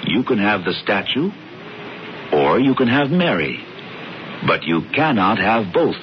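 A knowing, persuasive older brother; low voice with theatrical swings; dull old radio sound with light hiss. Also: male voice, sing-song, unhurried. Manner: smooth, insistent, theatrical. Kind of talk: 1970s radio drama acting.

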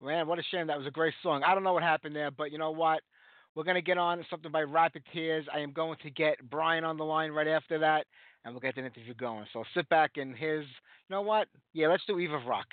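Male radio host, quick and chatty, slightly raised pitch, thin phone-like sound. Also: dry recording. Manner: easygoing, chatty, with frequent fillers. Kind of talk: casual live interview chat.

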